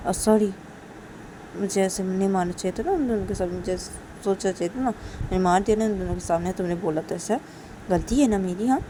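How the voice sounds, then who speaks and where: A woman's voice: medium pitch, fairly quick, noisy background, close to an everyday voice. A woman voicing an affectionate young woman who soothes and scolds, repeating herself insistently in a storytelling recording. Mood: tender, apologetic. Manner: insistent, repeating, tag questions.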